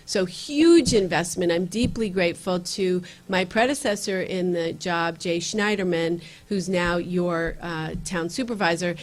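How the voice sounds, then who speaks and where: A county legislator, a woman, addresses a community meeting in a room and pauses often with fillers and restarts. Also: lowish voice. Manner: earnest, with frequent fillers and restarts. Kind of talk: campaign speech at community meeting.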